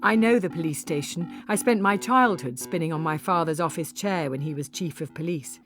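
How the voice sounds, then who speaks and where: A woman narrating a story in the first person, with an expressive, wry and theatrical delivery. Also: lowish voice, brisk, over music. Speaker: audiobook narrator.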